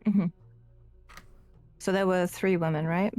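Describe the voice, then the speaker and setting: A woman playing a tabletop roleplaying game, describing her character's actions with hesitant pauses. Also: fairly low pitch, fairly even intonation, warm tone, dry recording. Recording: music playing behind the voice. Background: music.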